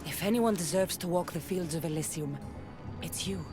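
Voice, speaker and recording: A woman's voice: lowish voice, normal speed, natural voice. A woman playing a resolute, loyal Spartan warrior in a video game, speaking in an earnest, commanding way. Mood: solemn. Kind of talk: video game cutscene acting. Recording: music behind the voice.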